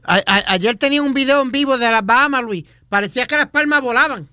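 A woman's voice: sits high, quick pace, bright, forceful tone, clear, resonant tone, no echo, clean studio sound. A woman co-hosting a radio show, passing on news conversationally in Spanish with English phrases mixed in. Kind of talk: casual news chat.

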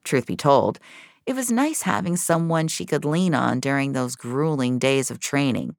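A woman narrates scripted fiction in a very deep voice. There is a gravelly creak to it, and the sound is close and dry. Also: no room sound.

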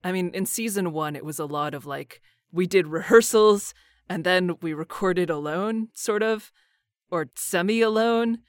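A woman's voice: lowish voice, unhurried, no room sound, clean studio sound. A woman chatting informally as a voice actor guest, often peppering sentences with filler phrases. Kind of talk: casual chat.

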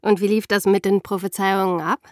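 A woman's voice: mid-range voice, level tone, brisk, no room sound, clean studio sound. A woman on a podcast, asking questions in casual dialogue.

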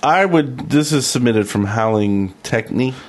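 A man's voice: mid-range voice, lively intonation, unhurried. A man co-hosting a podcast in a casual, conversational style. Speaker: podcast co-host. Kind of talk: casual podcast chat, reading out listener mail and sponsor.

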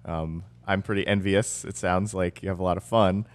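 A man's voice: deep voice, steady tone, normal speed. A man co-hosting a podcast, asking his guest questions in a casual, curious way. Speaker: podcast co-host. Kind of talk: casual chat.

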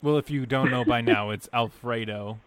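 A man's voice: mid-range voice, moderate pace, very noisy. A man co-hosting a podcast, earnest and a little defensive in casual chat. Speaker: podcast co-host.

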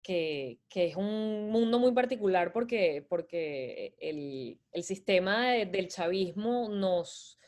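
A woman from Venezuela speaks with a Venezuelan accent in a casual interview. She talks in a measured, thoughtful way with some hesitant restarts, her voice at a middle pitch. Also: animated, darkish tone. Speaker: guest.

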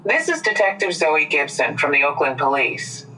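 An actress playing a blunt, probing police detective in an audio drama, heard on a recorded phone call. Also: female voice, deep voice, very animated, moderate pace, sharp tone, far from the mic, a little hiss or hum, heavily acted. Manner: blunt, incredulous questioning. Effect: played-back phone call recording.

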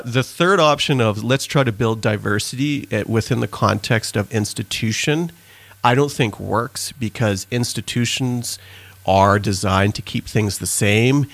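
Low-pitched guy from trinidad, author-pastor being interviewed, earnest and reflective, dry close sound. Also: no echo. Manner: earnest, reflective, speaks in long explanations. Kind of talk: interview conversation.

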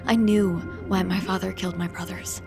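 A woman playing a lost, guilt-ridden woman confessing to a friend in an intimate, halting audio drama monologue. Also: fairly low pitch, fairly even intonation, vocal fry, over music. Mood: haunted, empty.

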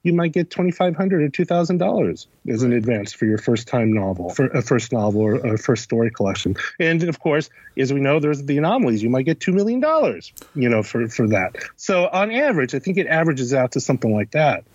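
A man of medium pitch talks as an interview guest, explaining in an informal, emphatic way. He repeats his points and keeps checking that the listener follows. The sound is dry and slightly dull. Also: big pitch swings, fairly quick, few pauses, darkish tone, slightly rough, no room sound. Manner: emphatic, repeats himself, often checks with "you know" and "right?". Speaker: podcast guest, critic and editor.

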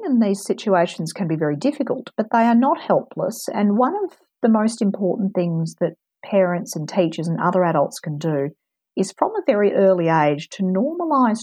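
A woman, giving calm, measured advice as an interview guest, with frequent ums. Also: mid-range voice, slightly rough, dry recording. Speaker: anti-bullying expert guest. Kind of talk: calm advisory interview answers.

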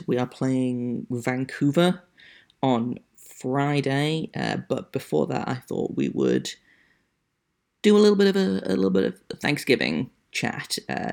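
A man's voice: mid-range voice, gravelly, darkish tone. A man hosting a solo sports podcast in a casual, dry and wry way, with an accent that is not American. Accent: non-American. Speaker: podcast host.

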